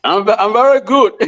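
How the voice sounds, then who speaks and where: A man's voice: sits high, fairly bright tone. A man with a Liberian accent, a lawyer debating on a talk show, often repeating his words. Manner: repeats words, courteous to seniors.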